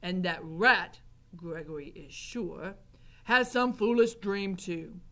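A woman voicing a gruff old male jailer in a story reading, scornful and solemn, in clipped pronouncements about himself in the third person. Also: fairly low pitch, slow pace, pushed and bright, exaggerated voice. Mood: gruff, stern.

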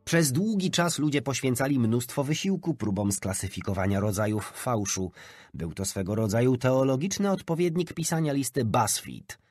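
A man reading a nonfiction audiobook as an expressive scripted narration. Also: mid-range voice, moderate pace, dry recording.

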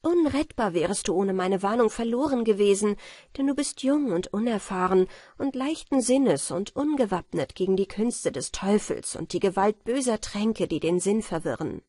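A woman's voice: medium pitch, animated, moderate pace, mellow, no echo, studio quality, lightly acted. A woman voicing a sly, defiant talking magpie in a fairy-tale audiobook, speaking in an insistent, dramatic way. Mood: urgent, warning.

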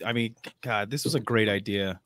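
A man's voice: lowish voice, normal speed, crowd noise. A man speaking casually as a panelist on a group livestream. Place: indoor setup on a group video call.

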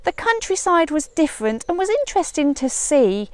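A middle-aged woman reading a children's storybook aloud outdoors in an expressive, measured teacher's way. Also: sits high, dry recording. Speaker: early years teacher. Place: outdoors in a leafy woodland garden.

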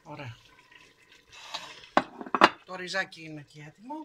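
A woman's voice: sits low, very noisy. Middle-aged woman host, homely cooking demo in a kitchen, sizzling and clatter audible behind her. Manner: homely, encouraging, explains each step. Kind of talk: home cooking demonstration. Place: home kitchen.

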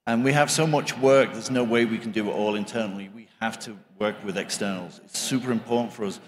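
A man's voice: lowish voice, a little fast. A man in his fifties making informal remarks as an industry panelist into a handheld microphone. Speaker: panelist, game industry executive. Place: conference stage. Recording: reverberant hall stage sound.

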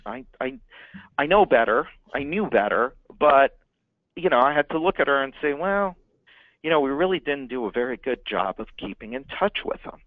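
A man's voice: on the high side, no echo, narrow, tinny audio. Man teaching business marketing, emphatic and repetitive. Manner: emphatic, repeats words for stress. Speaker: marketing consultant. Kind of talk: business training talk.

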